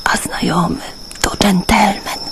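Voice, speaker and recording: An actress playing an exhausted, anxious female refugee in a radio play, speaking in a breathy whisper. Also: mid-range voice, fairly even intonation, unhurried, close to an everyday voice. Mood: hushed worry.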